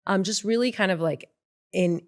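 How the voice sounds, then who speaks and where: A woman's voice: medium pitch, moderate pace. A woman from Mexico, a guest founder talking casually in an interview, with frequent ums and restarts. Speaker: guest, beverage brand founder.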